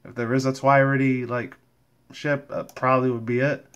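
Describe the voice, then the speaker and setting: A man with a medium-pitched voice hosts a casual fan vlog. He rambles through his commentary in loose slang. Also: flat delivery, normal speed, fairly bright tone, smooth. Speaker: YouTube host and fan comic dubber.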